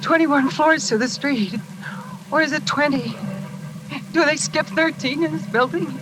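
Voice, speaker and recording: An actress playing a brisk, excitable young secretary in a 1940s radio drama. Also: female voice, high-pitched. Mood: dazed. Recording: noisy old 1940s radio recording.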